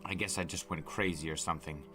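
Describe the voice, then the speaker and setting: A man narrating a story dramatically, like an audiobook, intense and deliberate in his phrasing. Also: sits low, fairly even intonation, sharp tone, faint music behind.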